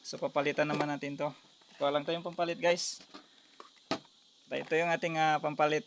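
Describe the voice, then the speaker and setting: A Filipino man talks viewers through a hands-on repair in a casual, halting way. His voice is gravelly with very little rise and fall in pitch. The amateur recording has clear background noise. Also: level tone. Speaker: electronics repair tutorial host. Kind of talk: casual hands-on step-by-step demonstration. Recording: noisy amateur recording with clicks.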